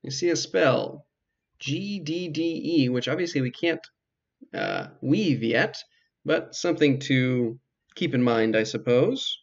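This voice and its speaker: A man giving casual, measured commentary as he hosts a video game walkthrough, explaining things hesitantly with dry asides. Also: medium pitch, animated, creaky, lo-fi sound. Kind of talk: casual let's play commentary.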